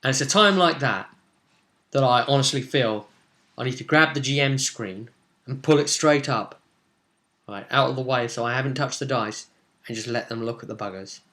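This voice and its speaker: A man in his twenties with a bright, forceful voice talks casually to camera as a game master. He rambles excitably through a story and slips into players' lines. He sounds like he is at a desk at home. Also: medium pitch, moderate pace. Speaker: game master recapping a tabletop role-play session. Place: small room at home.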